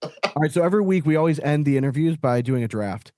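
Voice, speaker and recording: A man's voice: a little high, expressive, fast, warm tone, no echo, studio quality. A man in his thirties hosting a podcast with casual, slangy sports banter, full of swearing and "like". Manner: profane, slangy, filler-heavy "like" and "you know what I mean". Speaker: podcast host.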